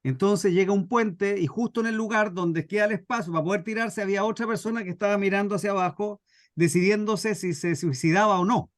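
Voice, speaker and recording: A man's voice: high voice, fairly quick, no room sound, clean studio sound. A man with a Chilean accent teaching a workshop informally through stories, repeating phrases for emphasis. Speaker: scriptwriting workshop instructor. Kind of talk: informal teaching with acted anecdotes.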